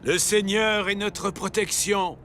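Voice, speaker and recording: A man's voice: high voice, fairly even intonation, slow, fairly bright tone, a little hiss or hum. An actor playing a pious old patriarch in a children's Bible cartoon, solemn, fatherly and reverent. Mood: fatherly reassurance.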